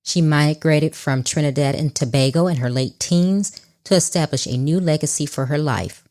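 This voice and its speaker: A woman's voice: sits low, moderate pace. A woman hosting a podcast, reading a scripted intro and then chatting in an effusive, upbeat way. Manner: warm and effusive, laughs easily. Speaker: podcast host.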